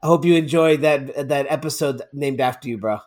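A man co-hosting a casual podcast chat, excitable and laughing often, stumbling over repeated words. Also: medium pitch, a little fast. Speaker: podcast co-host.

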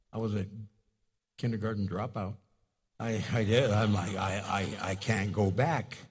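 A husky-voiced male pastor preaching in a storytelling way. His first language was Spanish, and he leaps into loud, high-pitched bursts when he acts out a scene before settling back down. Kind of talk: sermon.

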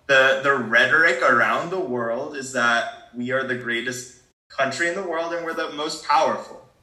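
Teen boy, discussion panelist, bright, earnest, stumbles and restarts, echoey room. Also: mid-range voice. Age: teens. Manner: earnest, repeats words while thinking aloud. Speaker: discussion participant. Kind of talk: casual group discussion.

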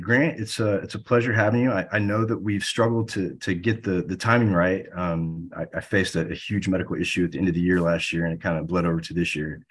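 A man's voice: deep voice, husky. A man hosting a podcast interview, asking questions with hesitant, stumbling restarts. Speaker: podcast host.

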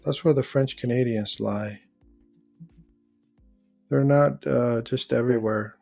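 A man's voice: mid-range voice, steady tone, slow, creaky, darkish tone, soft background music. A man hosting a live call-in show, chatting casually, rambling as he searches for words and reads out viewer comments. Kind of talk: casual live-stream chat.

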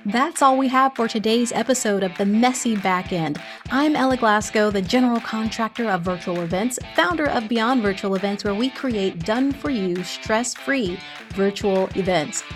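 A woman co-host reading a scripted podcast outro in an upbeat, measured way. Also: medium pitch, music in the background.

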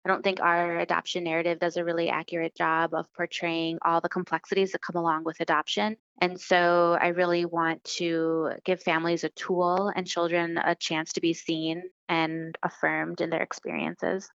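A woman from Chile with a Midwestern American accent, an author answering interview questions in an earnest, warm storytelling way. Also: fairly low pitch, level tone, normal speed, few pauses, smooth, no echo, muffled recording. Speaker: author guest.

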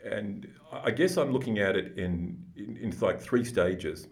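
Booming low-voiced man, calm and measured. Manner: slow, deliberate, explanatory, with restarts. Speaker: psychologist guest. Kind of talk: calm, measured podcast conversation.

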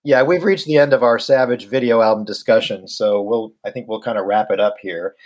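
A man's voice: on the low side, a little fast, a little mellow. A middle-aged man with a slight Southern American accent co-hosting a podcast in easygoing casual chat over a video call, laughing often. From United States. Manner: easygoing, laughs often, repeats words when starting. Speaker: podcast co-host.

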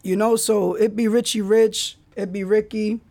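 A man's voice: sits high, little pitch movement, normal speed, warm tone. A man hosting a podcast, telling stories in a laid-back way with street slang. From the Bronx, New York. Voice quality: raspy. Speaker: podcast host. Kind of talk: casual solo podcast storytelling.

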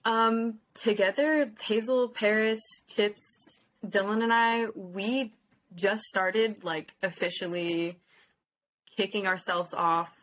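A woman's voice: medium pitch, fairly even intonation, slow, a bit bright, rough recording. Hesitant teenage woman from Southern California. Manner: hesitant, many ums, youthful. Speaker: band singer. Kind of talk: casual interview chat.

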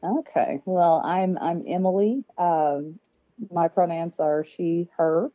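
A woman's voice: lowish voice, slow, dry recording. A woman who is a guest on a casual podcast, speaking in a nervous, self-deprecating way with many fillers, heard over a remote call line. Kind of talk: casual interview conversation. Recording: dry, phone-like remote call audio.

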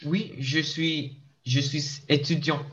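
Man from Mexico, student, tentative, very slow, muffled lo-fi call audio. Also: a little high, steady tone, a bit bright. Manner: tentative, careful practice of basic French phrases. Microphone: video call.

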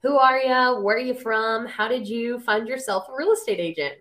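Higher-pitched woman hosting a podcast. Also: normal speed, fairly bright tone. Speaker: podcast host. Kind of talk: casual conversational interviewing.

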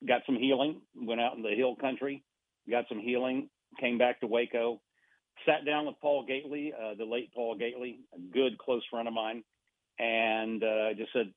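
A man's voice: mid-range voice, no echo. Rambling man on a tinny phone line. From Argentina. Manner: rambling, with many restarts and fillers. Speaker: radio guest. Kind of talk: casual radio chat.

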